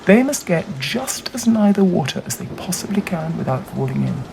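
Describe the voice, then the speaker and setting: A narrator reading a classic novel aloud in a measured, deliberate way with dramatic phrasing. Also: medium pitch, big pitch swings, vocal fry. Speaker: audiobook reader. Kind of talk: scripted literary narration. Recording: audible background noise.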